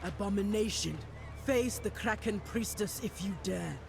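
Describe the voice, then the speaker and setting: A woman's voice: medium pitch, a little slow, creaky, noisy background. A woman playing a proud, commanding sea priestess in a video game, solemn and imperious in her delivery. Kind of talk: video game cutscene acting. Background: music.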